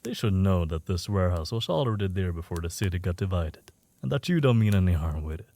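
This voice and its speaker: A man's voice: deep voice, lively intonation, fast talker, mellow. A man playing a courteous, self-assured underworld informant in an audio roleplay, talking in a polite, formal way. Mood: suave, composed.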